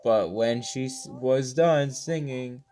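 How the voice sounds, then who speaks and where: Gaming host, slow halting read-aloud, mid pitch, casual asides, faint music. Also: warm tone. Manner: halting, often stumbles and rereads words. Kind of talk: reading game story text aloud with casual reactions.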